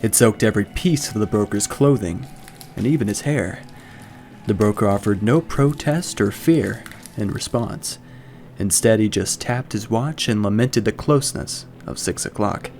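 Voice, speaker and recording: Slow, gravelly man narrating fiction. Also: lowish voice, darkish tone. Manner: measured, dramatic storytelling.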